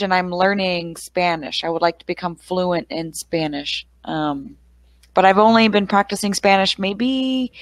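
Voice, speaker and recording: A young woman with a Texas accent chats with a student as an English tutor, friendly and full of fillers. Her voice sits a little low for a woman. The sound is close and dry, from a headset mic. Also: no echo. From Texas, United States. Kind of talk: casual conversation. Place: small room at home. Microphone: headset mic on a video call.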